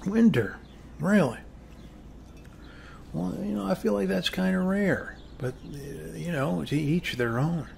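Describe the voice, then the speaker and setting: A man playing a friendly, rambling middle-aged suburban neighbor in an ASMR role-play, with an American accent and a soft, hesitant, folksy delivery. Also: on the high side, slow, gravelly, pauses often, full character voice. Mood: friendly, chatty. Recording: faint steady background noise.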